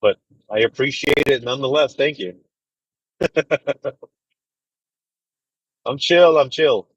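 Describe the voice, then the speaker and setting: A man's voice: mid-range voice, expressive, normal speed, slightly rough, no echo, highs cut off. Laid-back man in his thirties, dry sound. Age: 30s. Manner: laid-back, rambling, full of fillers and "dude". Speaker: truck driver livestreamer. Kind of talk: casual livestream chat with viewers. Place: inside a truck cab.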